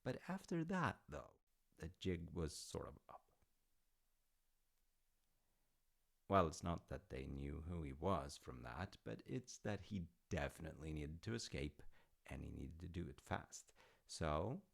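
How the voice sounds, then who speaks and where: Man playing a deep, gravelly, easygoing storytelling dad. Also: very animated, heavily acted. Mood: wry, playful. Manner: rambling, wry, hesitant pauses with asides. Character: easygoing dad telling his grown child Norse myths. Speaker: audio roleplay voice actor. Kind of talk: intimate conversational storytelling roleplay.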